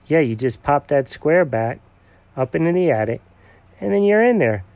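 An easygoing cat builder with a folksy drawl, voiced by a man. He hesitates a little, and his pitch bounces in a lively way. It sounds dry and close. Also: moderate pace, no echo, lo-fi sound.

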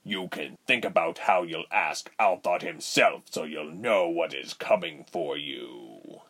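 Man playing a low, slow, menacing villain general. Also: a bit bright. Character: cruel, arrogant male general, wicked villain.

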